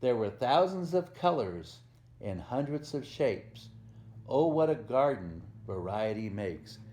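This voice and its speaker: Slow, mellow man reading to small children. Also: medium pitch, big pitch swings. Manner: gentle, simple explaining, questions to the listener. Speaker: volunteer storyteller. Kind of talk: children's picture book read-aloud with asides to young listeners.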